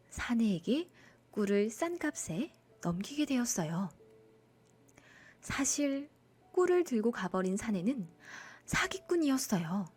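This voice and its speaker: A woman narrating a children's bedtime folktale in an expressive storytelling style. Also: high voice, husky.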